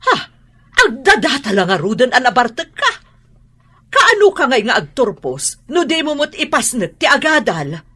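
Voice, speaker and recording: A woman's voice: high voice, sing-song, normal speed, pushed and bright, slightly rough, full character voice. A woman playing an exasperated, nagging mother in a radio drama, with a northern Philippine accent. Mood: scolding, exasperated.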